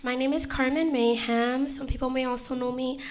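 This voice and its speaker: Composed, slightly high-voiced panelist woman. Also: steady tone, moderate pace.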